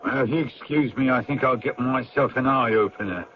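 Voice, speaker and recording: A brusque, rude stranger, played by a male actor in an old radio play. His voice is mid-pitched and comes through a dull recording with clear noise. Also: normal speed, natural voice. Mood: curt. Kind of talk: old-time radio drama acting.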